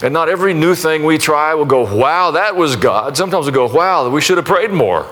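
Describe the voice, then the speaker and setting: Folksy pastor with restarts. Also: male voice, on the high side, lively intonation, fairly quick, fairly bright tone, a little hiss or hum. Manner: conversational, folksy, self-deprecating with many restarts. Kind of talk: sermon and prayer to a congregation.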